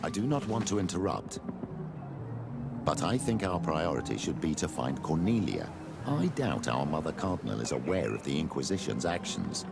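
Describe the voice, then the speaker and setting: A man's voice: extremely low pitch, sing-song, moderate pace, gravelly, exaggerated voice. A man playing a cautious, diplomatic church adviser in a video game, speaking formally and tactfully. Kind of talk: video game dialogue acting. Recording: music behind the voice.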